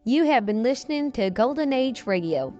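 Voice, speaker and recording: Lady reading a channel outro, high voice, soft music behind. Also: lively intonation, normal speed, lo-fi sound. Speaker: channel announcer.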